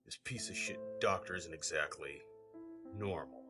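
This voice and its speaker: A man acts out a mean, short-tempered crime boss of a father in an audio horror story. The voice is low and growling, full of contempt. Faint music sits underneath. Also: unhurried, pushed and bright. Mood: irritated, scornful. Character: foul-mouthed, bullying, short-tempered criminal father.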